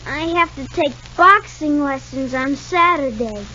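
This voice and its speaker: A muffled, noisy old radio soap recording carries a very high, childlike boy's voice. He speaks slowly and haltingly, anxious and polite, yet stubborn. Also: lively intonation, pushed and bright, full character voice. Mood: shy, wistful. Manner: hesitant, stammering, polite. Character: timid, anxious, stubborn six-year-old boy. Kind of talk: 1950 radio soap opera acting.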